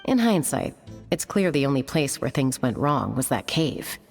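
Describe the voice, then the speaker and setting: An actress voicing a calm, confident sharpshooter explaining her plan. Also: rumbling low, moderate pace, vocal fry, exaggerated voice. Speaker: sharpshooter ally. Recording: game scene with loud music.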